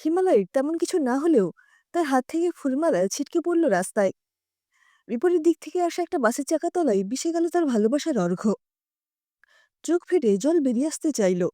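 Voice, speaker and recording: High, mellow-voiced woman storyteller. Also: sing-song, a little fast, dry recording, clean studio sound. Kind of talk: expressive scripted story narration.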